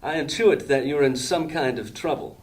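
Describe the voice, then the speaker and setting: A man's voice: fairly high pitch, moderate pace, close to an everyday voice. An actor playing a formal, proud male leader of a thieves' gang in an audio drama, speaking ceremoniously.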